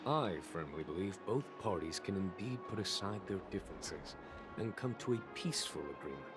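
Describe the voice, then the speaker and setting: A man voicing a genial, composed general in a video game, speaking in a formal, measured and eloquent way. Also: sits low, lively intonation. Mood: assured, diplomatic. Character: genial, composed male general, a diplomatic mediator. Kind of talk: scripted video game voice acting. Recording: game music behind the voice.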